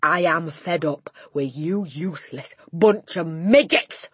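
A woman's voice: deep voice, slow pace, breathy edge, no echo, exaggerated voice. A woman voicing a furious, bullying giant of a headmistress who roars at her class. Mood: furious roaring. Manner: roaring, shouting.

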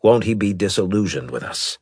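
Man doing a deep, husky, commanding old-school admiral. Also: expressive, darkish tone, no echo, clean studio sound. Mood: probing. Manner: deliberate, authoritative, probing. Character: large, gruff male admiral, measured, commanding, skeptical. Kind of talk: audiobook character dialogue.

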